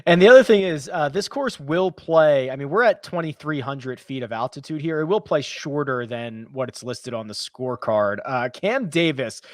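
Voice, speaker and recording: A man hosting a casual golf betting chat, brisk and analytical, who laughs easily. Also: mid-range voice, lively intonation, dry recording, clean studio sound. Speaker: golf betting show host.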